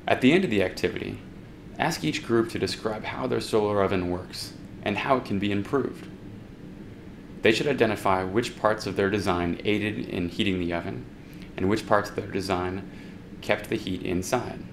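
A man's voice: fairly low pitch, normal speed, vocal fry, slight background noise. Young man explaining in a classroom. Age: young adult. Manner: casual, with fillers and restarts. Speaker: instructor. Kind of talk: instructional talk to camera. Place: indoor classroom.

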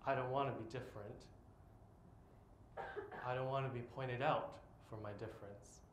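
A man in his twenties performing an autobiographical spoken-word monologue on stage, with dramatic, deliberate phrasing and long pauses. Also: mid-range voice, steady tone. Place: live on stage in a theatre. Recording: echoey theatre, live stage recording, background noise.

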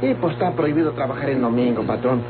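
An actor playing a humble, pious peasant labourer in an old Mexican radio drama, servile and folksy with a Mexican accent. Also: male voice, medium pitch, fast, background noise, lightly acted. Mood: fawning, pious. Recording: tinny old radio recording, music behind.

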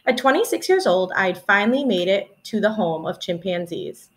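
A young woman in her twenties, a teacher reading a children's picture book aloud in an expressive storytelling manner, recorded indoors at home on a webcam. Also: medium pitch, normal speed. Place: small room at home, indoors.

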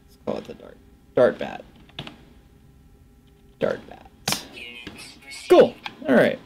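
A man in his thirties giving casual gameplay commentary, halting and muttering to himself as he names his discoveries. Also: medium pitch, huge pitch swings, vocal fry, a little mellow, slight background noise. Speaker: gaming video host. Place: small indoor room at a computer.

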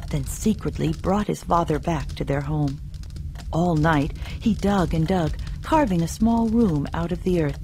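Slow, expressive woman storyteller. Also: deep voice, slight background noise. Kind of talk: scripted folktale narration for learners.

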